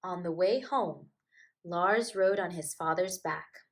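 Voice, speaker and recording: A woman's voice: lowish voice, slow. A woman teacher reading a storybook and talking to young children in an encouraging way, with simple phrasing. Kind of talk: storybook read-aloud and gentle address to children.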